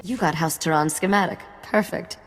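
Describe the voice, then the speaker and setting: A woman voices a sardonic smuggling partner for a sci-fi game. Her voice is a bit low, her manner dry, teasing and businesslike, with a long hall reverb. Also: moderate pace, faint music behind, close to an everyday voice. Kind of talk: video game cutscene acting.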